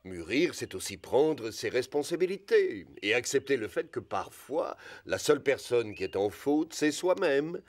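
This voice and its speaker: A man voicing a friendly, wise dog storyteller in a French cartoon dub, patient and gently teasing as he gives advice. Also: mid-range voice, big pitch swings, a little slow, hardly any pauses. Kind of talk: French animated series dub acting.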